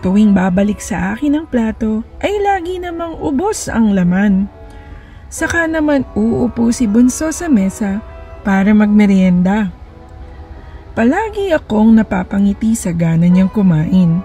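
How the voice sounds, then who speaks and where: A woman's voice: mid-range voice, expressive, measured pace, dark tone, soft background music. A woman with a Filipino accent reading a children's storybook aloud as the storyteller.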